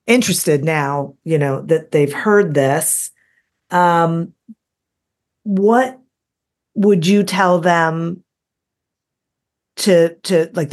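A woman's voice: lowish voice, darkish tone. A woman hosting a business podcast, asking rambling questions in a friendly way and reading a scripted outro. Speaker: podcast host.

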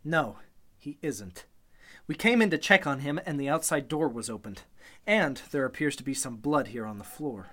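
A man acting as an earnest, take-charge man in an old-time radio mystery. His voice is bright and forceful and swings widely in pitch as he presses on with urgent suspicion. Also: lively intonation, normal speed. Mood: suspicious. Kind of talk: golden-age radio drama acting.

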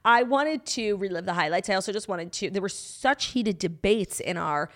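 Brief: young woman, New York accent, casual animated podcast co-host, mid-pitched voice. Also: normal speed. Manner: animated, swearing, heavy use of "like". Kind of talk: casual chat.

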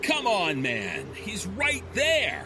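A man's voice: high-pitched, wildly swooping pitch, slow pace, music in the background, voice pushed up, full character voice. A man voicing a drunk teenage boy who heckles crudely and mockingly in an audio horror story. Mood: drunken mocking.

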